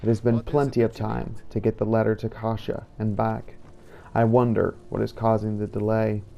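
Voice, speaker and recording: A man voicing a brooding vampire hunter who narrates his journal in a solemn, measured way for a fantasy game roleplay. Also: lowish voice, monotone, vocal fry, dark tone, lo-fi sound. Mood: weary, reflective.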